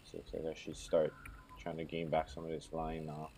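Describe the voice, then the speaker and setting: A man narrating his own kayak fishing footage, calm and matter-of-fact, explaining each step as he goes. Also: very deep voice, normal speed, a little hiss or hum. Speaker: kayak fisherman.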